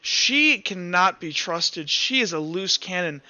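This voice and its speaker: A man's voice: high voice, lively intonation, takes it slowly, sharp tone, lo-fi sound, full character voice. A man voicing a timid teenage boy with a spear who is distraught and accusing, stammering as he pleads in a tabletop game. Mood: angry, accusing.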